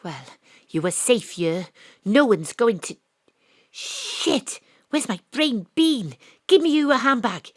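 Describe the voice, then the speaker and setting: A woman narrating as a private detective in a radio play, with a brisk, wry, deadpan manner. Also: fairly high pitch, dry recording, clean studio sound. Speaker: narrator and detective Astrid Price. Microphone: home studio mic.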